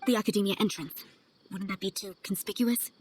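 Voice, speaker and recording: Actress as female game traveler, fast-talking, composed, probing, persuasive, medium pitch, ambient background noise. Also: a little mellow, lightly acted. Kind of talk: dramatic video game dialogue.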